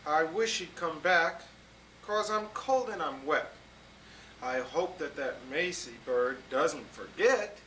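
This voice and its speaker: A man's voice: a little high, expressive, takes it slowly, bright, forceful tone, a little hiss or hum, full character voice. A man reading a faithful, stubborn elephant. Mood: glum. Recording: roomy, distant mic.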